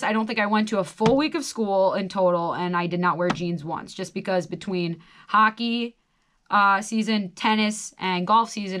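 A woman's voice: mid-range voice, a bit bright. An American woman in her twenties chatting casually and reading a quiz aloud, with wry, self-deprecating asides. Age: 20s. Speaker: host of a solo quiz video. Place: small radio studio.

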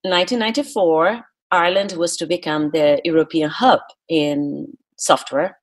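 Husky, low-voiced Brazilian woman. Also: moderate pace. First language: Portuguese. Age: middle-aged. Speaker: podcast guest, speaker and coach. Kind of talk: conversational interview storytelling.

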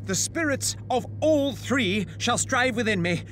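A reformed miser speaks with theatrical emotion and a stammering, halting delivery. His pace is slow and his pitch swings widely, with noticeable ambient rumble behind him. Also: lively intonation, fairly bright tone, heavily acted. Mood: giddy joy. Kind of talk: theatrical audio drama acting.

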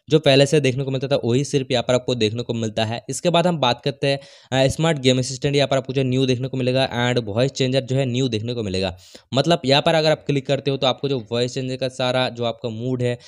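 Clear-voiced man explaining step by step. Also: medium pitch. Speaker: tech YouTuber. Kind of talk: phone tutorial voice-over.

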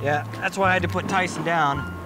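A middle-aged man playing an excitable, flustered park ranger in a children's adventure skit, often repeating his words. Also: a little high, a little fast, lightly acted. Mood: calm, chatty. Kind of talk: children's adventure skit, improvised-sounding chatter. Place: large indoor exhibition hall. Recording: loud music bed behind the voice.

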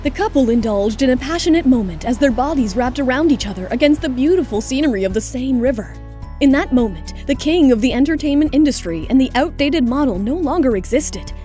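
A woman narrates a scripted audio drama. Her voice is quite high yet mellow and rounded, with light music beneath the storytelling. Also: animated, fairly quick.

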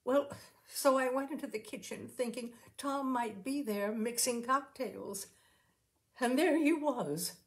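A woman reading a story, voicing an upset young wife who is hurt and indignant, in a high, tearful, exclaiming way. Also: measured pace. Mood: agitated.

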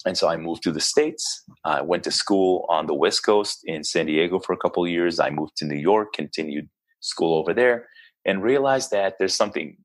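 A man from Israel in his thirties, a basketball coach talking earnestly and casually as a podcast guest. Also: low voice. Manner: earnest, chatty, frequent restarts and fillers. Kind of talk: casual interview chat.

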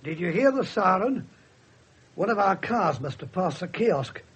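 A man's voice: high-pitched, sing-song, measured pace, a bit bright, full character voice. A man playing a matter-of-fact police officer in a radio drama, explaining things in a businesslike way. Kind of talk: 1970s radio drama acting. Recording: faintly noisy old radio recording.

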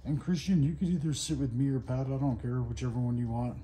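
A man's voice: medium pitch, fairly even intonation, quick pace, dark tone, echoey room, a little hiss or hum. A man working as a barber, chatting casually in an encouraging, slangy way while cutting hair. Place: indoor barbershop.

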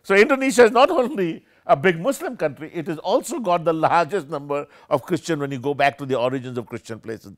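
Animated older man lecturing. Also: a little high, fairly quick, few pauses, dry recording, clean studio sound. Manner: animated, gesturing, discursive with self-corrections. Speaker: keynote speaker, professor. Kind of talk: academic lecture. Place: small room with armchairs, indoors.

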